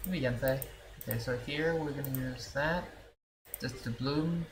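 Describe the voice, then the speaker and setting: A man's voice: medium pitch, unhurried, noisy background. A man streaming a game with casual commentary, thinking aloud in short fragments. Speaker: gaming streamer. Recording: game music behind the voice.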